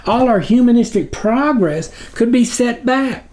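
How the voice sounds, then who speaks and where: A man voicing a high-voiced, fawning, pleading demon minion in a storybook reading. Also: lively intonation, measured pace, darkish tone. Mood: frantic pleading. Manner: obsequious, pleading.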